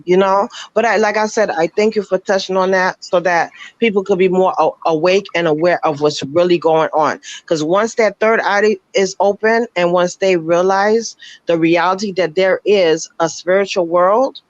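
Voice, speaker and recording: A woman calling into a live show, her voice raspy from just waking up, talking earnestly with halting restarts. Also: lowish voice, fairly even intonation, no room sound. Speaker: caller. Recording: dull, dry call-in line.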